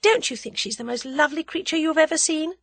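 A woman's voice: high-pitched, fast talker, fairly bright tone, dry recording, voice pushed up. A woman voicing a spirited, teasing young woman in love in an audiobook, playful and eager. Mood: demure teasing. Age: young adult. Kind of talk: audiobook character dialogue.

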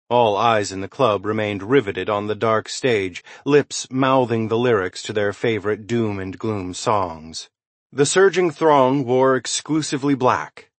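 A man reading a suspense thriller novel as scripted narration, in a dramatic, measured storytelling manner with emphatic swells and hushed drops. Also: fairly low pitch, no room sound. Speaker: audiobook narrator.

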